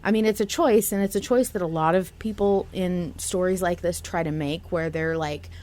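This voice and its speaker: A woman's voice: on the low side, moderate pace, darkish tone. A woman hosting a podcast in casual discussion, speaking in an earnest, reflective way. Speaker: podcast host.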